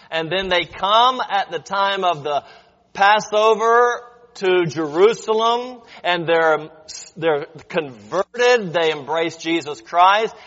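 A man's voice: sits high, fairly bright tone. A man preaching a Bible lesson, explaining patiently and checking in with his listeners through rhetorical questions. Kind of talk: sermon. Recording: dull audio with long hall reverb.

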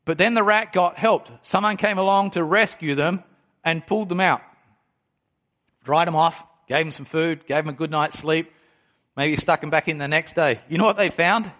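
A pastor in his forties with a fairly high voice preaches a sermon. He reads scripture aloud and then explains it, slowing down and pressing hard on the words that matter. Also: fairly bright tone. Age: 40s. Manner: emphatic preaching, slows down to stress key phrases.

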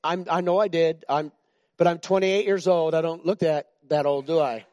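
A man's voice: fairly high pitch, fairly even intonation, normal speed, darkish tone, no echo. A man in his sixties, a pastor giving an informal, chatty sermon to his church, joking with the congregation and often restarting his sentences. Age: 60s.

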